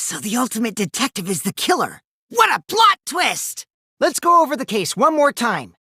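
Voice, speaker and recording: A man's voice: very high-pitched, wildly swooping pitch, measured pace, bright, forceful tone, husky, dry recording, studio quality, full character voice. An actor voicing a mischievous, taunting teenage boy who lies for fun in a video game, theatrical and teasing, swinging from sly to gleeful. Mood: mocking glee. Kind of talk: animated video game voice acting.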